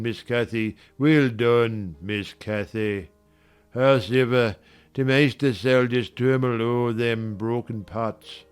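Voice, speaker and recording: A grumpy old Yorkshire manservant, acted by a man, scolds with pious scorn in an audiobook drama. His delivery is very unhurried and his voice clear and resonant, with music underneath. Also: mid-range voice, close to an everyday voice. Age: older. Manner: scolding, sanctimonious, slow and drawn-out.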